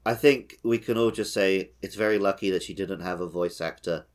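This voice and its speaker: A man's voice: sits low, fairly quick. A man co-hosting a podcast, chatting casually about a video game. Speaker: podcast co-host. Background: background music.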